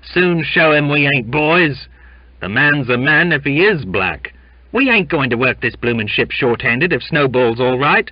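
A man's voice: mid-range voice, animated, measured pace, dry recording, lo-fi sound, voice pushed up. A man voicing a troublemaking sailor in a storybook, piercing and screechy, goading with slangy speech. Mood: rabble-rousing.

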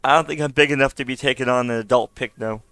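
A man with a raspy voice, hoarse from being sick, giving casual gameplay commentary, chatty, laughing often, with sudden excited outbursts. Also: medium pitch, fast talker, dry recording. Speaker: gaming YouTuber.

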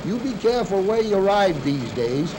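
An actor playing a protective older rancher uncle in a 1930s radio western. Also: male voice, high voice, sing-song, normal speed. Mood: cautioning. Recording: noisy 1930s film soundtrack.

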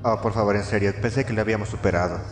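A young man playing a cocky, wry corporate employee in a Latin American Spanish video game fan dub, joking and expressive. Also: lowish voice, very fast, natural voice. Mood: weary, focused. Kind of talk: amateur fandub of a video game. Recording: echoey room, music over the voice.

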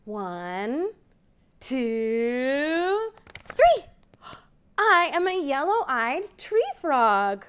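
Lively, high-voiced woman reading a storybook. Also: very slow, long pauses. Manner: animated storytime reading with rising counts. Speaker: children's librarian. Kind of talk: reading a picture book aloud to children. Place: library program room, indoors.

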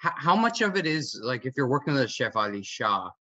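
A man's voice: mid-range voice, big pitch swings, brisk, bright, forceful tone, no echo. A young man in his twenties, an actor, asking an eager, winding question over a video call from a home kitchen. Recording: dull, dry video-call audio.

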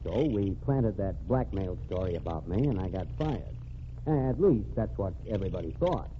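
An actor playing a cocky undercover ex-reporter in a 1940s radio drama, cool and wisecracking. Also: male voice, sits low, expressive, normal speed. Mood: explaining. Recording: muffled old radio transcription with hiss.

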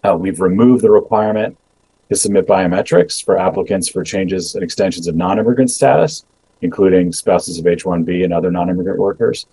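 A middle-aged man, an immigration agency official, giving measured remarks over a video call with many ums and uhs. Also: sits low, mellow, slightly rough, low-quality recording. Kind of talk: prepared remarks and Q&A answers. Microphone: video call webcam.

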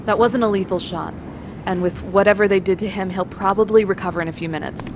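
A woman's voice: mid-range voice, fairly even intonation, quick pace, noisy background, lightly acted. An actress playing a level-headed, serious young woman on the run in an audio drama. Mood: urgent, firm. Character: level-headed, decisive young female escapee with powers.

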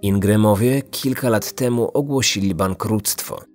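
A man narrates a true crime podcast from a script. His voice sits low, with music playing behind it. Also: unhurried, a little mellow.

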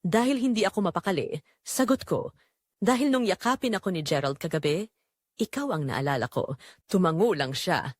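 A woman's voice: fairly low pitch, lively intonation, moderate pace, pauses often, no echo, studio quality. A woman narrating a scripted, confessional first-person story. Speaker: narrator of an audio drama, reading as the wife.

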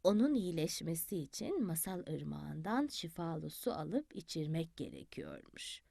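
Woman voicing a soft old grandmother hen. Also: deep voice, wildly swooping pitch, measured pace, creaky, dry recording, pitched below the performer's own voice, exaggerated voice. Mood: soothing.

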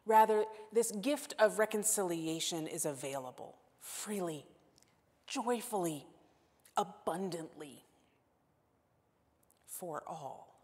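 A woman in her forties giving a sermon as guest preacher, earnest and self-deprecating, moving between emphatic lines and hushed asides. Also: on the low side, expressive, takes it slowly, long pauses. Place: church sanctuary. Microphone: lapel mic. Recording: long church-hall reverb.

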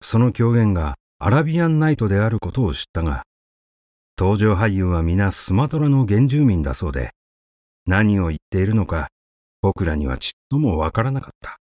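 Low-voiced male story narrator, lively intonation. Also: normal speed, no room sound, clean studio sound. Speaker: audiobook narrator. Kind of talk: literary short story reading in the first person.

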